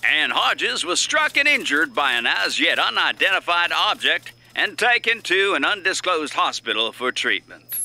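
An actor playing a brisk small-town radio newsman of the 1950s, reading a bulletin. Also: fairly high pitch, very animated, bright, forceful tone, heavily acted. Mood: urgent, solemn. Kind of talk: 1950s radio news acting.

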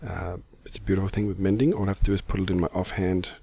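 A middle-aged man with a croaky voice, giving casual, easygoing gaming commentary as the host of a video. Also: low voice, little pitch movement, racing, warm tone, highs cut off, a little hiss or hum. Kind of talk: casual Minecraft let's-play commentary.

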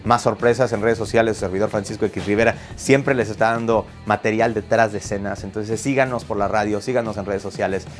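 Enthusiastic Mexican man, low voice. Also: steady tone, brisk, few pauses, faint music behind. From Mexico City. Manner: enthusiastic, chatty. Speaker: hockey play-by-play broadcaster. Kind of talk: interview answers. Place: locker room, indoors.

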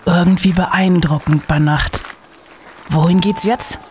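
An actor playing an eager, gung-ho young male student in a radio play, excitable and dramatic. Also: medium pitch, a little slow, a little hiss or hum, lightly acted. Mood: curious.

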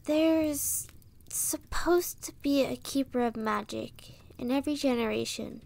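A woman playing an earnest, grieving young village rebel in a fantasy audio roleplay, telling her story in a measured, solemn way. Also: high-pitched, vocal fry, darkish tone. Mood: grieving, bitter.

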